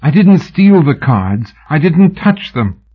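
A man's voice: on the high side, very animated, slow pace, slightly rough, phone-quality sound, pitched above the performer's own voice, heavily acted. A man reading an audiobook, voicing a frightened young British woman who pleads desperately and breathlessly. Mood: pleading. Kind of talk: audiobook character voice.

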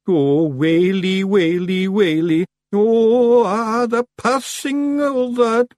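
A high-pitched, hapless little Scottish simpleton. He sounds naive and earnest, blurting his words with a lively rise and fall. It is a storybook character in a dry recording. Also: a little slow, no room sound. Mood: wailing.

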